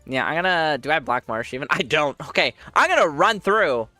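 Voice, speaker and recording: A congested man streams his gameplay in a loose, rambling way, restarting sentences and laughing a lot. His voice sits a little high for a man and sounds bright and smooth. The recording is dry and close, with faint music underneath. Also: sing-song, brisk, dry recording. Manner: rambling, self-correcting, laughs often. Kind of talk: casual gameplay commentary.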